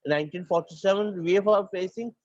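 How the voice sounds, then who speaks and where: A man's voice: sits high, brisk, no room sound, muffled recording. A man with a north Indian accent lecturing a class, switching between English and Hindi and often restarting his phrases. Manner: repeats and restarts phrases, dictates points to students. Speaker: teacher.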